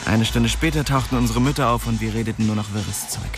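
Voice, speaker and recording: A man's voice: fairly low pitch, fast, close to an everyday voice. An actor playing a cocky, restless young man who narrates his story in a slangy, streetwise radio drama style. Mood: cheeky, indignant. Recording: music behind the voice.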